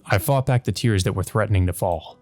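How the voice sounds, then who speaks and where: A man with a fairly low voice reads a visual novel aloud for a let's play. He narrates the text and slips into casual asides. Music plays in the background. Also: a little fast, a little mellow.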